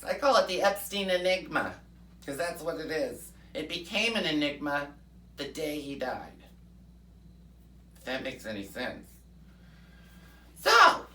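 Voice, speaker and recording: A woman playing a brash, folksy right-wing pundit persona in a comic rant, fiery and preachy with drawn-out "mm-hmm" asides. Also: fairly low pitch, sharp tone, reverberant, recorded from a distance. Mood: chatty gossiping. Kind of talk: comic political rant in character.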